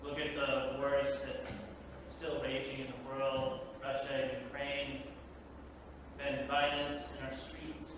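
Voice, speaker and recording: A middle-aged man preaching a sermon in a church, measured and earnest, pausing often and adding fillers. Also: mid-range voice, monotone, noisy background. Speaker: pastor. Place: church sanctuary. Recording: echoey church hall, distant mic.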